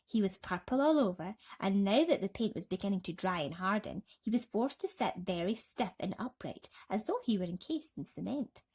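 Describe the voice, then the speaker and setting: Expressive woman reading a storybook. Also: medium pitch, husky. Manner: dramatic, slows for suspense. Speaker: narrator reading bedtime stories.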